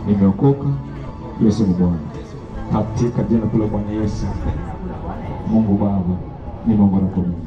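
A man preaching and praying aloud in an open-air service on a town street, in a slow, fervent, repetitive manner. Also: fairly low pitch, echoey room, dull audio. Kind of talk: open-air sermon and prayer. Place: outdoors in a busy town street. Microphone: walker's camera at a distance. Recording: distant voice amid loud street crowd and music.

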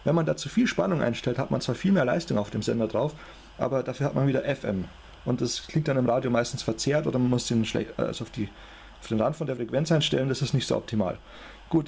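A man's voice: medium pitch, vocal fry, darkish tone, slight background noise. A man with a German accent giving an informal, matter-of-fact technical demonstration as a hobby electronics presenter.

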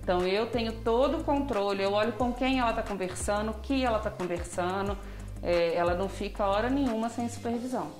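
A woman in her thirties answers a reporter's questions in her home kitchen. She explains earnestly and step by step at a mid pitch. Light music sits faintly behind her. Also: fairly quick, darkish tone, reverberant. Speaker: interviewed mother. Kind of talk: casual interview answers. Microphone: reporter's handheld mic.

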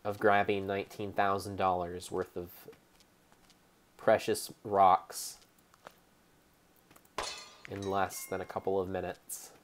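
A young man giving a theatrical, mock-serious dramatic narration over gameplay, speaking deliberately like a storyteller. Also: low-pitched, completely flat delivery, a little hiss or hum. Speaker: game streamer.

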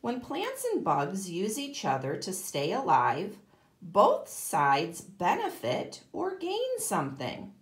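A woman's voice: lowish voice, roomy sound. A woman teaching kindergarten, reading a children's book aloud expressively and explaining it to young listeners. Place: indoor room, at a table.

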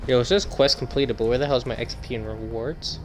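A man's voice: mid-range voice, fairly even intonation, fast, slight background noise. A man in a group voice chat, bantering casually with friends during a game. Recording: prominent game music behind voice. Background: video game music.

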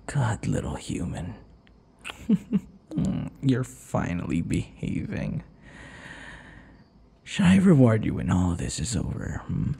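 A man playing a possessive, taunting vampire captor in an intimate ASMR roleplay, his breathy voice often dropping into whispers. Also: on the low side, background noise, heavily acted. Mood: coaxing, threatening. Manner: taunting, slow, drawn-out endearments. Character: possessive, obsessive male vampire captor and would-be lover. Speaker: audio roleplay voice actor.

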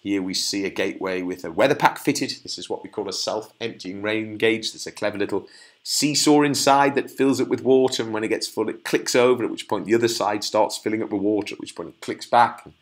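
A middle-aged man from the UK giving an informal product presentation as a beekeeper and founder, in a conversational, understated, lightly wry way. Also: on the low side.

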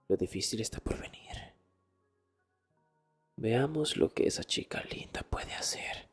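A man playing an arrogant nerdy young student in an intimate ASMR roleplay, hushed and often dropping into whispers. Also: medium pitch, slightly rough, heavily acted. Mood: smug, musing. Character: arrogant nerdy young male student, exasperated, teasingly dominant. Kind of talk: Spanish ASMR boyfriend roleplay, intimate one-sided dialogue.

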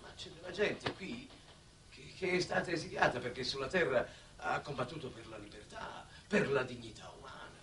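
A man's voice: medium pitch, normal speed, a bit bright, far from the mic, lightly acted. Actor as formal statesman, echoey old TV recording. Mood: solemn persuasion. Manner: formal, oratorical. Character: earnest, insistent diplomatic statesman on a space mission. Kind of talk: 1960s Italian television drama acting. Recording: echoey, noisy old 1960s TV soundtrack.

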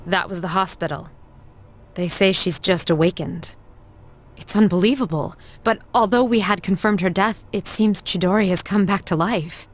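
Woman doing a serious student council girl from a video game, kinda low voice, very proper and measured. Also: slight background noise, natural voice. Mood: grave, formal. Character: composed, formal young female student council leader. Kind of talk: scripted game voice acting.

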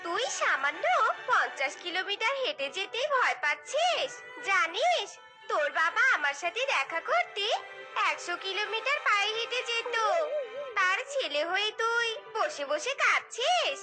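A performer voicing a bossy, sharp-tongued mother fox in a cartoon, scolding and nagging. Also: very high-pitched, very animated, moderate pace, over music. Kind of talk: cartoon voice acting.

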